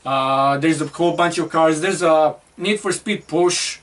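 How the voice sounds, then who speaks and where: A man's voice: a little high, unhurried, a bit bright, recorded from a distance. A man co-hosting a casual movie review chat, rambling with lots of fillers and restarts. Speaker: co-host.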